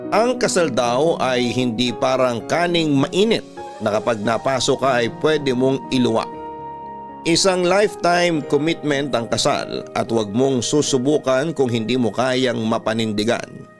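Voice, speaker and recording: A man's voice: mid-range voice, expressive, measured pace. Filipino man narrating radio drama over music. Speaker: radio storyteller host. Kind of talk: dramatic scripted narration, classic radio storytelling.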